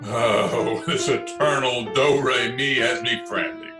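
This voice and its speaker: A man's voice: medium pitch, fairly even intonation, takes it slowly, over music, natural voice. A man playing a pompous, blustering, easily irritated neighbour in an old-time radio comedy. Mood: indignant. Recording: roomy, echoey internet call recording.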